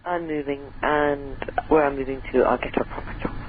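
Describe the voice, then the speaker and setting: A woman's voice: a bass voice, fairly even intonation, fairly quick, noisy background. Chatty woman on a phone line. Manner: chatty, giggly, self-deprecating. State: voice going hoarse. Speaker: caller. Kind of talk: phone-in chat.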